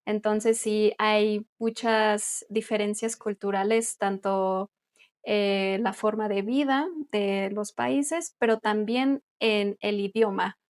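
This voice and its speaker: A woman's voice: mid-range voice, steady tone, slow, clean tone. A Mexican woman who teaches Spanish, co-hosting a casual podcast and explaining things in a chatty way with light laughs. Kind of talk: casual conversational podcast chat.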